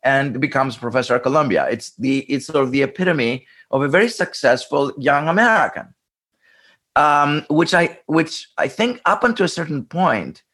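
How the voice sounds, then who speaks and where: A man explaining history in an interview, thoughtful and halting, with many restarts and fillers. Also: fairly high pitch, expressive, a bit bright. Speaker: interviewed scholar.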